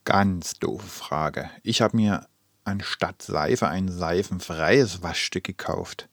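A man's voice: low-pitched, takes it slowly, no room sound, clean studio sound. A man playing a keen social media commenter in a radio collage of read comments. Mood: puzzled.

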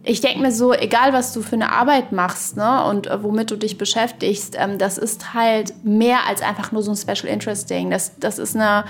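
A woman's voice: mid-range voice, steady tone, moderate pace, few pauses. A woman co-hosting a podcast in casual discussion, searching for words, rambling and trailing off mid-thought. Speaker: podcast co-host. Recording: voice over background music. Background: music.

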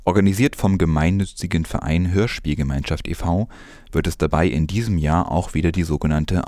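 A low male voice in a very dry recording presents scripted news. He reads formally and carefully, at a measured gait, as a presenter would. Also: a little mellow, no room sound.

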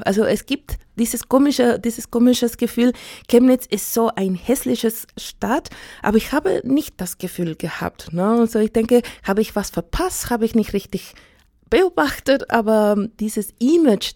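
A middle-aged woman from Chile with a Chilean Spanish accent, Spanish her native language, speaking German thoughtfully with many pauses and fillers in a casual radio interview. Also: on the high side, warm tone, no echo, clean studio sound.

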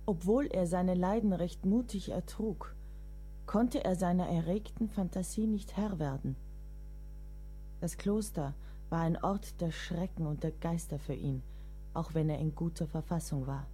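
Radio play part: actress as a composed female companion narrating memories solemnly, soft dark tone, music underneath. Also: fairly low pitch, measured pace, natural voice. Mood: solemn, reflective.

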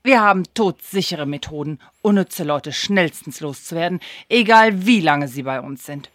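A woman's voice: deep voice, lively intonation, moderate pace, no room sound, clean studio sound. A woman playing a stern, ruthless store sales manager in a German radio play, commanding and haughty. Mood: cold, boastful.

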